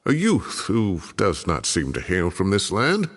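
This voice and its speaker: Game role: exasperated elderly male scholar, gravelly, husky, wildly animated, theatrical grumbler. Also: low voice, measured pace, long reverb tail, full character voice. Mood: musing, delighted. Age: older. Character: genial, exasperated old retired male scholar. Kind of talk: video game voice acting.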